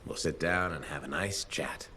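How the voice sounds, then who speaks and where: A man's voice: a bass voice, moderate pace, pushed and bright, a little hiss or hum. A man playing a wry, guarded freelance mercenary in video game cutscene acting, speaking in a dry, sardonic, evasive way.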